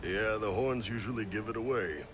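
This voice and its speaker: A man's voice: on the low side, moderate pace, music in the background, close to an everyday voice. A man playing a big, hearty horned mercenary chief in a video game, jovial and casually commanding. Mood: jovial, welcoming. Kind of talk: video game character acting.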